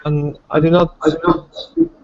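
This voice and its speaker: A man from Albania, a native Albanian speaker, speaking careful, formal English with pauses on a video call. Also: on the high side, level tone, a little mellow. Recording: dull lo-fi video call audio.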